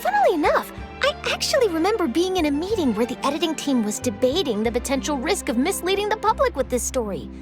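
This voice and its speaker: A woman's voice: high-pitched, sing-song, fast, hardly any pauses. A woman playing a polite, well-informed young female journalist in a video game, explaining things articulately. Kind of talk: video game character dialogue. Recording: music under the voice. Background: game background music.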